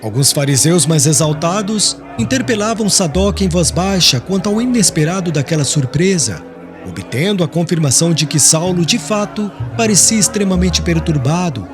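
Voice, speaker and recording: A man with a Brazilian accent narrating a literary audiobook in an expressive, scripted style. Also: fairly high pitch, moderate pace. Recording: music bed under the voice.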